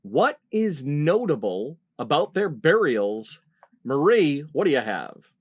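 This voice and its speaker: A man's voice: on the high side, big pitch swings, slow, breathy edge. A middle-aged man hosting a comedy panel game in an announcer-like way, on a video call. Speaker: game show host.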